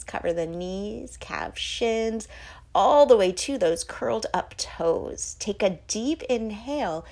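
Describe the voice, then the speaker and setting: A woman hosting a guided sleep meditation, giving soothing instructions with breathy phrases that often trail off toward a whisper. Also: fairly low pitch, darkish tone.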